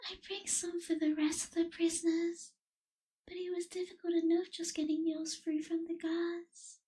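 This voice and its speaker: A woman playing a gentle, caring young vampire in an intimate ASMR roleplay, soft-spoken and breathy, with tender hesitations. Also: extremely high pitch, flat delivery, moderate pace. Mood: grateful, gentle.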